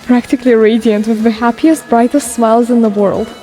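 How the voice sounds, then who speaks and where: A woman playing a lively human fighter who tells stories vividly, in a game fandub. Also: a little high, a little fast, soft background music, lightly acted. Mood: enthusiastic. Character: lively human fixer woman, a keen storyteller. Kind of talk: dramatic game fandub acting.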